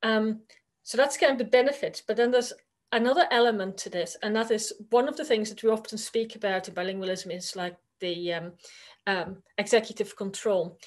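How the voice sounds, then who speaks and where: A woman's voice: medium pitch, steady tone, fairly quick. Woman, Dutch accent, native Dutch speaker, academic presentation, conversational, hesitant fillers, video-call mic. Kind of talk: conference presentation.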